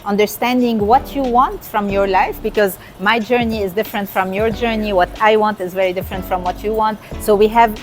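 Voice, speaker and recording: A middle-aged woman from Lebanon talks in an interview with an earnest, motivational drive. Her voice sits at a middle pitch, and she comes back to her main points again and again. Faint music plays in the background. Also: steady tone, brisk, few pauses. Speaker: guest, entrepreneur and coach. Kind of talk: conversational interview.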